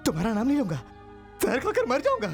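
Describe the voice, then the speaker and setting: An actor playing a guilt-ridden man who pleads haltingly and repeats himself, in emotional drama style. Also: high voice, sing-song, a little mellow, soft background music. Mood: desperate pleading.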